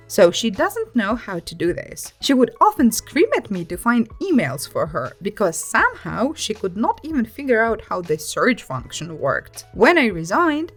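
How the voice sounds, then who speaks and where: A woman reads scripted stories aloud as a narrator, with an expressive, emphatic delivery over background music. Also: a little high.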